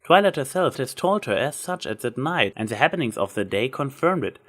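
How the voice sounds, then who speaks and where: A man's voice: mid-range voice, big pitch swings, brisk, a bit bright. Male narrator for an amateur fan fiction reading, mid-range voice, scripted storytelling.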